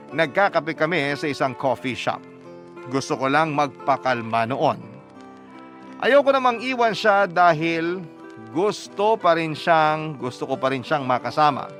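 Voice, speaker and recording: A man's voice: fairly high pitch, a bit bright. A man playing a proud, sentimental young man who narrates his love story for a radio drama, earnest and reflective.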